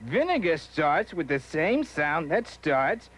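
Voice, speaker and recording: A man's voice: a little high, very animated, fairly bright tone, breathy edge, exaggerated voice. An actor playing a boastful but cautious villainous vandal with a folksy wise-guy manner in a children's educational show. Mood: wary. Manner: folksy streetwise wise guy, drawn-out phrasing.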